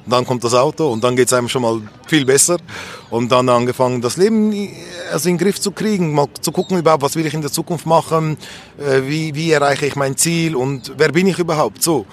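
Male street interviewee, fairly high voice, Swiss accent, candid colloquial rambling, faint background noise. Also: fairly quick. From Switzerland. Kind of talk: casual personal reflection. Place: outdoors on a street.

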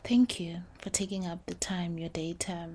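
A woman hosting a podcast, speaking softly and calmly in a reflective faith talk, slipping between English and Sesotho phrases. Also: fairly low pitch, normal speed, slightly rough. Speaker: podcast host.

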